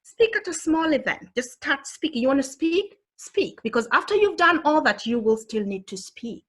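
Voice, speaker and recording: A woman's voice: high voice, sing-song, normal speed, fairly bright tone. A woman with a Kenyan accent giving an earnest, encouraging motivational talk to an online audience. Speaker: motivational speaker.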